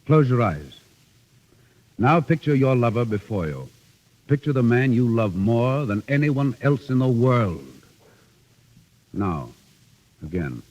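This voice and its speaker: An actor playing a devoted, exacting acting teacher with precise, theatrical diction, in an old-time drama style. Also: male voice, medium pitch, very animated, slow pace, pauses often, a little mellow. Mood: hushed, coaxing. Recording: dull, muffled vintage recording.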